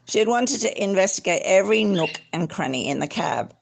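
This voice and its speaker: Audiobook reader, creaky voice with lively swoops, theatrical and deliberate, recorded at home with faint noise. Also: medium pitch. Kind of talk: expressive literary narration. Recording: faint background noise, clicks.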